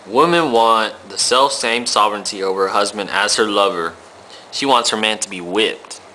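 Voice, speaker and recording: Bright, cocky young knight, acted by a guy. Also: fairly low pitch, normal speed. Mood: confident. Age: teenager. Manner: slangy, flippant. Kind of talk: student puppet show acting. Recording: noisy amateur recording.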